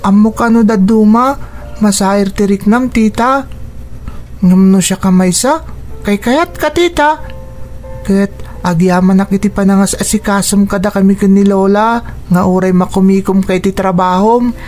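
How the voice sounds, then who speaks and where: A woman's voice: mid-range voice, moderate pace, a little mellow, faint music behind, close to an everyday voice. A woman playing a concerned, affectionate young boy in a Philippine radio drama, with an earnest way of talking. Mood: grateful.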